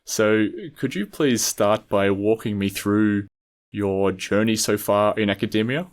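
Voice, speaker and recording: Low-voiced Australian podcast host. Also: male voice, fairly even intonation, measured pace, no room sound. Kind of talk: scripted intro then interview questions.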